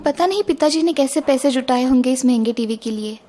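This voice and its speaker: A dutiful young daughter-in-law from a cartoon tale voiced by a woman, with a high voice. She is polite, earnest and quietly clever, over light background music. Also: a little fast. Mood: worried. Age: young adult. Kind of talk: Hindi cartoon story acting.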